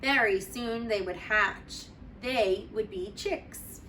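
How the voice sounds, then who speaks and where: A woman teacher reading a children's storybook aloud with deliberate, expressive phrasing for young listeners. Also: a little high, far from the mic, slight background noise, rough recording.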